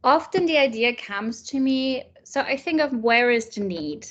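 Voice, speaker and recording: A woman's voice: a little high, expressive, measured pace, fairly bright tone, dull audio. Woman chatting as a guest. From Germany. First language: German. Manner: thoughtful, many fillers and restarts. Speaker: author and illustrator guest. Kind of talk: casual interview chat.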